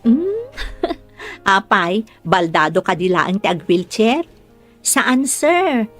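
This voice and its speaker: A woman's voice: medium pitch, big pitch swings, very slow, a bit bright, faint music behind, full character voice. An actress playing a cheerful, frank young female caregiver with an Ilocano accent in a radio drama. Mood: coaxing.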